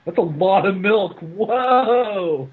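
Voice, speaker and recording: A man's voice: sits high, lively intonation, drawn-out words, breathy edge, narrow, tinny audio. A man chatting casually and jokingly with friends as he comments on a film. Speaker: co-host of a movie riffing show.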